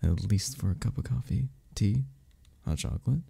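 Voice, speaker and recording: Man playing a flirty, low, gravelly charmer. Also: lively intonation, normal speed, mellow, full character voice. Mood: gentle, inviting. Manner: teasing, reassuring, chuckles between phrases. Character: flirty, friendly male cuddle club member showing a newcomer around. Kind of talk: ASMR romance roleplay, one-sided conversation.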